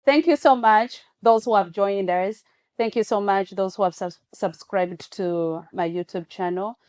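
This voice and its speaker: A woman hosting an online talk show, making slow, repeated appeals to her viewers. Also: medium pitch, a little mellow, clear, resonant tone.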